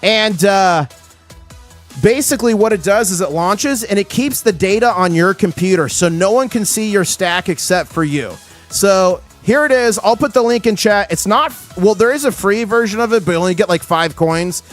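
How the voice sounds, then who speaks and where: A man hosting a casual livestream, his voice hoarse, talking with excitable hype-man energy. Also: high-pitched, normal speed, faint music behind. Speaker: livestream host.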